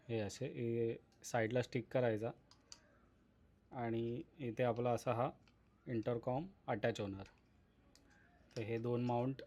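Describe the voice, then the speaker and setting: A man's voice: medium pitch, dark tone. A man giving casual, explanatory unboxing commentary as a vlogger, addressing viewers as friends. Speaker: vlogger presenting a product unboxing.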